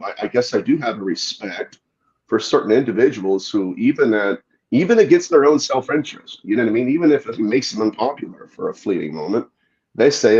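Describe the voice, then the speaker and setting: A man's voice: fairly low pitch, darkish tone, muffled recording. A man appearing as a guest demonologist, explaining his views earnestly and thoughtfully. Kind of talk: casual interview talk.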